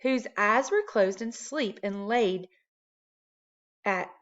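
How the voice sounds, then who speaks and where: A woman narrates a children's storybook aloud at a mid pitch. The recording is slightly dull and muffled, like one made at home. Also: takes it slowly. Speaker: storybook reader.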